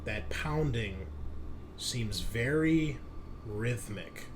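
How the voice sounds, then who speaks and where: A man's voice: mid-range voice, animated, extremely slow, background noise. A man hosting and game mastering a tabletop roleplaying session in an informal, casual way.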